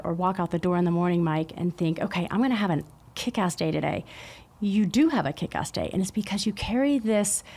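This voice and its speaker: A woman's voice: medium pitch, darkish tone. A woman in her fifties, a podcast guest speaking in an upbeat, articulate and reflective casual chat. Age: 50s.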